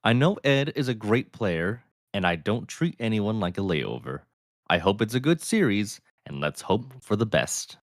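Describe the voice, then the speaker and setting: Deep-voiced calm male co-commentator. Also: moderate pace, dry recording, studio quality. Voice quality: deep, smooth voice. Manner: calm, thoughtful, analytical. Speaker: player and guest commentator. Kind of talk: casual game commentary.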